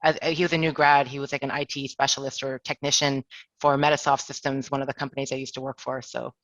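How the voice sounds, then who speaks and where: A woman's voice: extremely low pitch, little pitch movement, fast, dry recording, highs cut off. A woman presenting an online career workshop as an HR director, chatty and self-deprecating with jokes. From Toronto, Canada. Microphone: video call.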